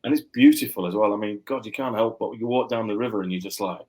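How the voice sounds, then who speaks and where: A man with a low voice and a mixed northern English accent talks as a guest author. He rambles easily, doubling back on his words with plenty of fillers. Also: fast talker, darkish tone. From Yorkshire, England. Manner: rambling, with many fillers and restarts. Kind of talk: casual interview chat.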